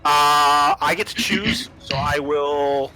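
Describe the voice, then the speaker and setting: Bright-voiced male tabletop game master. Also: a little high, slow pace, faint music behind. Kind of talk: running a tabletop roleplaying game, improvised narration and rulings.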